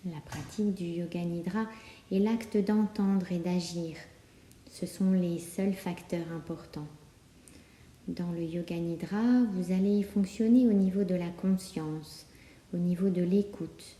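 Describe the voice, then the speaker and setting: A woman guiding a yoga nidra relaxation, speaking calmly and soothingly with many pauses. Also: lowish voice. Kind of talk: guided relaxation meditation. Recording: roomy, echoing sound.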